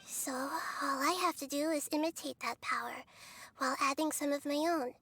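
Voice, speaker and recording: A woman voicing a gentle, childlike little goddess in a video game, speaking softly in a measured, wise and composed way. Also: sits high, steady tone, slight background noise. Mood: calm resolve. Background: music.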